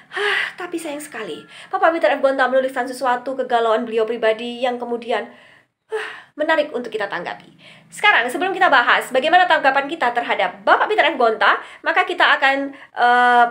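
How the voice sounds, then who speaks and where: A woman's voice: on the high side, fairly bright tone. A middle-aged woman giving an opinion monologue to online viewers, emphatic and fond of posing questions and answering them herself. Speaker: vlog host giving opinion commentary.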